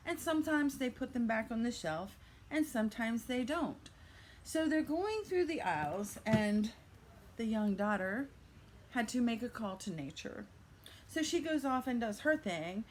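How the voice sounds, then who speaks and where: A woman's voice: fairly high pitch, slight background noise. An older woman telling a playful fairy-tale style story with theatrical narration and chatty asides. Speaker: vlogger telling a reselling story.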